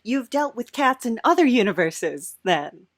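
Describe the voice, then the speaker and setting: A woman's voice: a little high, big pitch swings, measured pace, no room sound, clean studio sound. A woman hosting a casual comedic interview podcast, speaking in a hesitant, stammering way. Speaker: podcast host.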